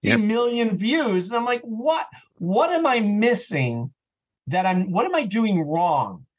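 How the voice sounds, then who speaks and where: A man chatting casually as an interview guest, talking in an earnest, animated way about his creative work. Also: high voice, a little slow. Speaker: interview guest, audio drama creator and podcaster.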